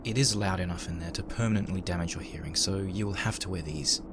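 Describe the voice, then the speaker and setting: Low-voiced man narrating over loud ambience. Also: quick pace, noisy background. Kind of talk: dramatic first-person story narration. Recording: loud engine and ambient sound effects. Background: background sound effects of ambience and machinery.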